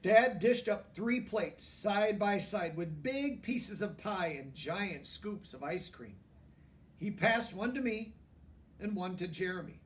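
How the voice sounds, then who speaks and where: A man reading a children's book aloud in an expressive way, pausing for emphasis. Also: sits high, distant mic. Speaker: storybook reader. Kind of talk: children's book read-aloud with brief asides.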